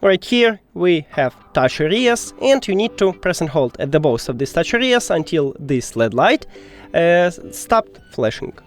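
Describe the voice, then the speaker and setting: Higher-pitched man giving a tech tutorial over music. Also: sing-song, measured pace. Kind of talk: step-by-step how-to narration.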